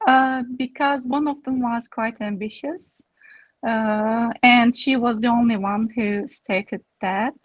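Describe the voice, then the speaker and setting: A woman researcher speaks at a slow pace with a fairly high voice and hesitant, halting phrasing. She is answering questions at an academic seminar, and the sound is dry with little room in it. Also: steady tone, no room sound, muffled recording. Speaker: research presenter.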